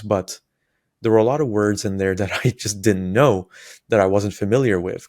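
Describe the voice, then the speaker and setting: A man's voice: on the low side, darkish tone, dry recording, studio quality. A young man from a Palestinian background, a native Arabic speaker, telling his personal story on his channel in an explanatory way, with frequent fillers and restarts. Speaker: YouTuber.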